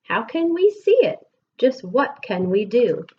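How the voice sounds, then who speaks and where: A woman with an American accent reads a picture book aloud to children with expressive, deliberate storybook phrasing, like a schoolteacher. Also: a little high, slightly rough, muffled recording. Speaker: elementary school teacher reading aloud.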